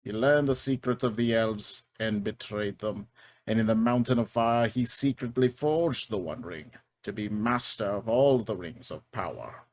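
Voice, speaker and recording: A man's voice: lowish voice, moderate pace, darkish tone, close to an everyday voice. A man playing a grave, stately, ageless elven king in a fantasy table read, formal and declamatory. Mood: solemn, lecturing.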